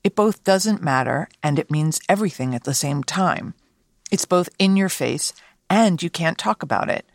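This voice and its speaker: Low-voiced woman host with a New York accent. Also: animated, moderate pace, dry recording, studio quality. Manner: reflective, essayistic. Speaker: podcast host. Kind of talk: scripted narration.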